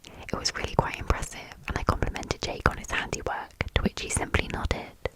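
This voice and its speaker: Woman whispering a story softly. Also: a little fast, low-quality recording. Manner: soft unvoiced whisper throughout. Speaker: ASMR storyteller. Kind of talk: whispered ASMR story reading.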